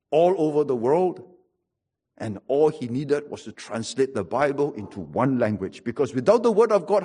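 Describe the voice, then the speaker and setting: A middle-aged preacher with a Singaporean English accent delivers a sermon, mixing wry humor into his storytelling. His voice sits a little high for a man and has a husky, slightly rough texture. He drives his points home through emphatic repetition. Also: darkish tone.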